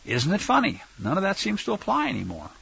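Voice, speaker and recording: An American man with a husky voice preaching a Bible lesson in an emphatic, sarcastic way, full of rhetorical questions. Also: high voice, wildly swooping pitch, fast, sharp tone, no room sound, highs cut off. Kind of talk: sermon, Bible teaching with political commentary.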